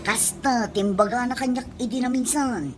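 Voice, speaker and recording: A woman's voice: on the high side, moderate pace, over loud music, natural voice. A woman playing a gossipy, complaining friend in an Ilocano comedy radio drama, with a nagging, dramatic delivery and an Ilocano accent. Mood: aggrieved.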